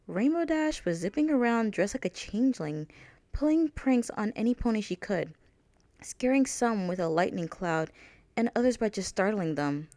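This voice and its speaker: A woman's voice: mid-range voice, lively intonation, normal speed, mellow, no room sound. A woman reading out her own fan fiction as a scripted storybook narration.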